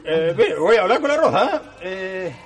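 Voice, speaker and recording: An actor playing a pushy, stammering country man with a husky voice and rural Chilean accent in a radio drama. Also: sits high, very animated, moderate pace, heavily acted. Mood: pushy, eager. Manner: stammering, repeats himself.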